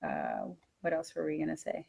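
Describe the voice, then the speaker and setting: A woman's voice: deep voice, huge pitch swings, normal speed, vocal fry, darkish tone, slightly rough. A woman talking casually as she presents a webinar for language teachers.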